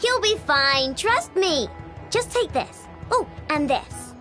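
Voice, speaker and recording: A cheeky young genius mechanic girl from a video game, boasts in a very high, lively voice. The manner is casual and brash, and music plays clearly behind. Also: slow, heavily acted. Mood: confident, brisk.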